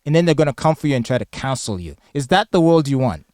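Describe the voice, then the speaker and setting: A man's voice: mid-range voice, big pitch swings, quick pace, a little mellow, dry recording, studio quality. A man from Japan hosting a talk show, debating heatedly and insistently, often repeating himself.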